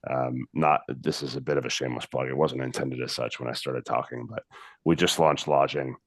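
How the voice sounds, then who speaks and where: An American man talking casually on a video call about his distillery, relaxed and halting with many fillers. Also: extremely low pitch, no room sound, clean studio sound. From United States. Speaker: distillery representative.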